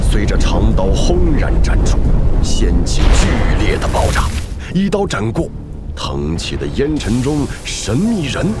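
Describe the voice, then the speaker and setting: 一名男旁白，以戏剧化的方式讲述动画故事。. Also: male voice, on the low side. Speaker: narrator. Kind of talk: dramatic scripted narration of an animated story.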